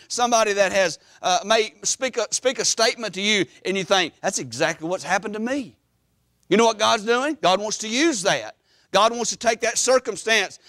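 A middle-aged man preaching an emphatic, animated church sermon, repeating phrases and pausing for weight. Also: high voice, dry recording. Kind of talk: Baptist sermon. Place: church sanctuary, preaching from the platform.

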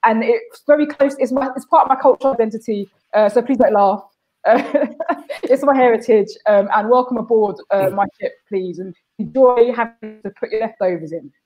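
A woman from North London doing a comic bit on a video call, brash with deadpan delivery. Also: a little high, normal speed, muffled recording. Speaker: guest performer.

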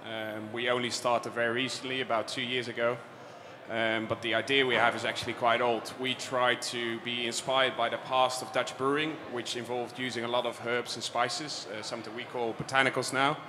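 A man introducing himself on a panel in a large hall, speaking in a measured, plain way into a handheld mic. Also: mid-range voice, level tone. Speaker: panelist, brewery founder. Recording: hall reverb, clear background noise.

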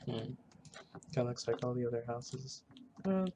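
A gamer giving casual, halting roleplay commentary, muttering to themself while playing, recorded on a noisy home mic with keyboard clicks. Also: medium pitch, animated, warm tone. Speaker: gaming video host. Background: background noise, keyboard typing and clicking.

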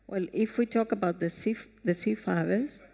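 Female panelist with a mellow timbre, explaining on stage in a roomy hall. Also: medium pitch, moderate pace, vocal fry, slightly rough. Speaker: conference panelist. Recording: echoey conference hall.

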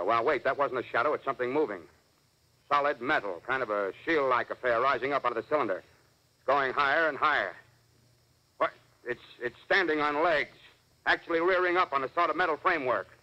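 An actor playing an army captain reporting from the field in a clipped military manner, in 1930s radio drama style. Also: male voice, mid-range voice, sharp tone, close to an everyday voice. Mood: uneasy, alarmed. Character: army captain observing battlefield, confident then uneasy. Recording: dull vintage 1938 radio recording.

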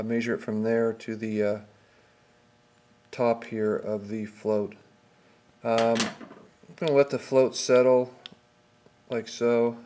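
Male repair instructor, medium pitch, flat intonation, halting and matter-of-fact, unscripted how-to delivery. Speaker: small engine repair instructor. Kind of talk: unscripted hands-on tutorial narration.

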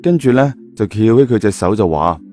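Low, mellow male Cantonese storyteller. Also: expressive, brisk, soft background music. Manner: chatty, rhetorical asides. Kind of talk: colloquial dramatic story narration.

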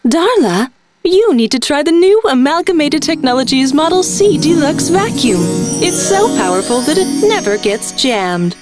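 A salesy, helpful housewife in a vintage radio ad. Her high voice is animated and emphatic, with music playing behind. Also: moderate pace. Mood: eager. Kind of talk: vintage radio commercial acting.

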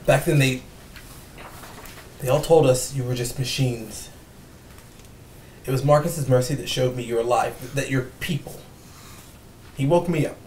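A man playing a remorseful police officer, halting and earnest, in a script read. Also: medium pitch, darkish tone, close to an everyday voice. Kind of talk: table read of a film script. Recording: echoey room, distant mic, faint noise.

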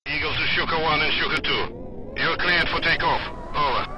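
Brisk military air controller over radio. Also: male voice, on the high side, steady tone, lo-fi sound, music in the background. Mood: commanding.

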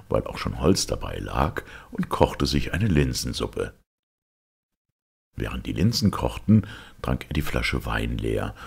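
A man narrating a fairy tale from a script in an expressive, dramatic way, with a gravelly, husky voice. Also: very deep voice.

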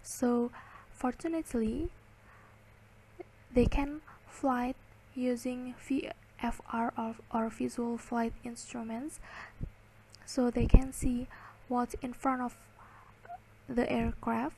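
A woman giving a slide lecture, reading and explaining in halting, word-by-word phrases. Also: fairly high pitch, steady tone, dark tone, slight background noise, rough recording.

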